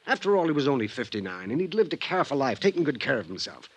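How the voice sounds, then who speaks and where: A man's voice: medium pitch, big pitch swings, fast talker, husky. An actor playing a troubled, wistful insurance man in a 1950s radio drama, earnest, hesitant and circling his point. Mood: earnest, grave. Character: rambling, troubled male insurance man, wistful old family friend. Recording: vintage 1950s radio recording.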